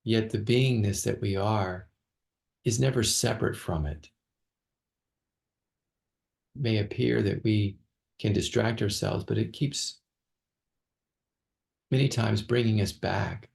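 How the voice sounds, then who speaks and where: A man leading an unscripted guided meditation as a teacher, speaking haltingly with long pauses and repeated words. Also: fairly low pitch, vocal fry, a little mellow.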